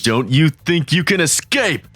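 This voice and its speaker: A man's voice: on the high side, sing-song, a little slow, a bit bright, dry recording, full character voice. Man playing a sneering street thug. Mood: taunting. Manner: mocking, taunting. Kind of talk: manga voice-over acting.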